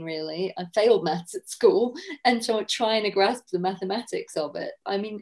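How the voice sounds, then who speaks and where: A woman in her forties hosting an interview on a video call from a room at home, warmly enthusiastic and affirming. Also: fairly low pitch. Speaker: host.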